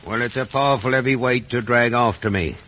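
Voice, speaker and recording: An actor playing a menacing, wretched escaped convict in a radio drama, with rough, threatening speech. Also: male voice, medium pitch, a little fast, a bit bright, lightly acted. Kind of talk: 1950s radio drama acting. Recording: muffled, noisy vintage radio recording.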